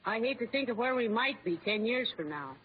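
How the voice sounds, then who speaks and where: An actress playing a bossy, well-meaning American housewife who nags insistently in an old-time radio comedy. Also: female voice, fairly high pitch, lively intonation, fairly quick, close to an everyday voice. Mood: softer, pleading. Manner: scolding, insistent. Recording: tinny, noisy old radio broadcast.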